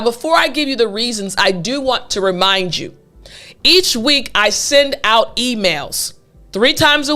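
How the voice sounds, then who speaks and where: A woman's voice: fairly high pitch, sharp tone. A young woman teaching English, emphatic and encouraging, repeating her key points. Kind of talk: scripted lesson to camera.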